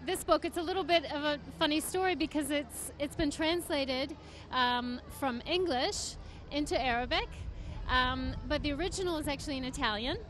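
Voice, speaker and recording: High-voiced woman author, enthusiastic. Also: normal speed, fairly bright tone. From United States. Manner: enthusiastic, hesitant with fillers. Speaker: guest author. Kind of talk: interview answers. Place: book fair venue.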